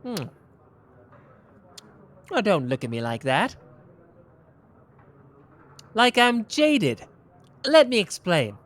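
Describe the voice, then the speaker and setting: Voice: medium pitch, wildly swooping pitch, very slow, long pauses, fairly bright tone, exaggerated voice. A performer voicing a smug, boastful young male detective in an ASMR roleplay, teasing and playful.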